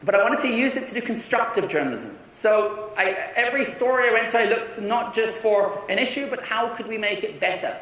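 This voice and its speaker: A young man giving a conference talk in an energetic, persuasive way, often restarting his sentences. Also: high voice, fairly quick, few pauses. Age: young adult. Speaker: conference speaker. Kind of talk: keynote talk. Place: large conference hall. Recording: echoey hall from far off.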